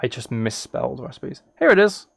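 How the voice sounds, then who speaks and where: A man's voice: medium pitch, very animated, brisk, creaky, dry recording, clean studio sound. A man livestreaming casually, reacting and chatting in an easily sidetracked, self-deprecating way. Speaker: live streamer. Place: indoors at a streaming setup.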